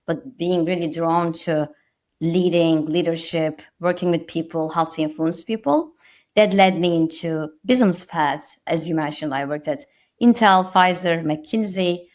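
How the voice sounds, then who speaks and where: A woman's voice: fairly low pitch, moderate pace. A woman in her thirties from Turkey with a Turkish accent, a guest on a podcast interview, speaking reflectively and modestly with frequent restarts.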